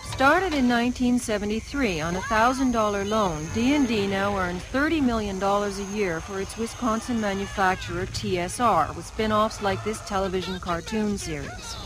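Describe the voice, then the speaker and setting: A woman's voice: medium pitch, moderate pace, few pauses, over music, low-quality recording. A woman with a North American accent delivering scripted news documentary narration as a television reporter. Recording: faint noise, old TV broadcast tape.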